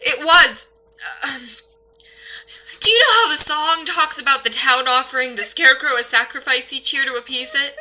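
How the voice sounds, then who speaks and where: A woman playing a brisk, earnest paranormal investigator who speaks urgently in a horror audio play. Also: sits high, bright, forceful tone, a little hiss or hum. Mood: alarmed, urgent. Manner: urgent, matter-of-fact, know-it-all. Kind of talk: audio play acting, naturalistic horror dialogue.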